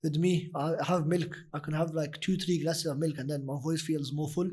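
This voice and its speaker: A young man, a nasheed artist, talking as an interview guest in a husky, deep voice, rambling with many fillers. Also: level tone, normal speed, darkish tone. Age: young adult. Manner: rambling, many "you know" fillers and restarts. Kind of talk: casual interview talk.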